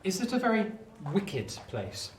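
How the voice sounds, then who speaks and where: A man's voice: high-pitched, huge pitch swings, a little slow, recorded from a distance, a little hiss or hum, full character voice. A man playing a polite, naive young man, hesitant and courteous, in a Victorian radio play. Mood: timid, curious. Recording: echoey room, off the mic.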